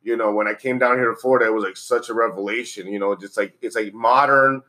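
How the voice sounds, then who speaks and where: A man's voice: fairly low pitch, steady tone, fast talker, bright, forceful tone. Rambling, laughing man from the Dominican Republic. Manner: rambling, laughs often, many fillers and restarts. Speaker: guest. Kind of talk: casual podcast chat.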